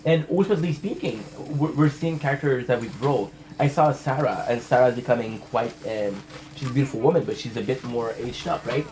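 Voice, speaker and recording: A man's voice: mid-range voice, a little fast, darkish tone, a little hiss or hum, rough recording. Rambling male vlogger. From Montreal, Canada. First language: French. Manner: rambling, stammering restarts, swears casually. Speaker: channel host. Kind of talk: casual rambling vlog chat.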